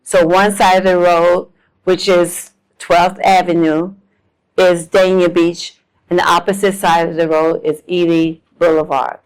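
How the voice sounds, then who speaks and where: A woman's voice: lowish voice, little pitch movement, measured pace, smooth, rough recording. A woman in her seventies from South Florida, telling her life story to an audience in a homespun way, with ums and self-deprecating jokes. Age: 70s. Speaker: guest speaker. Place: lecture room with a live audience.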